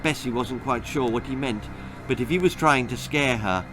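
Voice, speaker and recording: A man's voice: mid-range voice, normal speed, a bit bright, faint music behind. A man narrating a children's story in a dramatic, scripted storytelling style. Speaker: author reading his own story.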